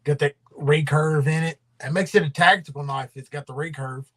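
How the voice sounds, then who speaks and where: A man's voice: fairly high pitch, steady tone, moderate pace, fairly bright tone. A man hosting a casual livestream, rambling and drawling, his voice trailing off into mumbles. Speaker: livestream host.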